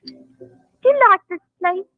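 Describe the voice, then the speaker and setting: High, chatty tavern woman. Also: expressive, measured pace. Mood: friendly, coaxing. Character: friendly, lonesome London tavern woman, forward with strangers. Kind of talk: 1940s radio acting. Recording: tinny, echoey old radio broadcast.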